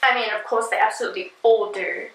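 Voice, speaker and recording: A woman hosting a casual reaction video, chatting and giggling, often filling pauses with "like". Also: mid-range voice, gravelly, a bit bright, roomy sound, low-quality recording. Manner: chatty, giggly, filler-heavy.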